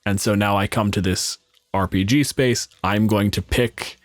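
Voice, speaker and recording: A man with a fairly low voice, recorded dry without room sound. He speaks as a podcast panelist, frank and explanatory in a casual discussion. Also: steady tone, unhurried, no echo.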